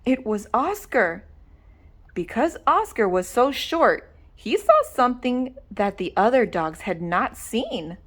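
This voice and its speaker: A woman narrates a children's storybook in a high, very animated voice. She reads slowly and deliberately, with dramatic expression. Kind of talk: animated read-aloud narration.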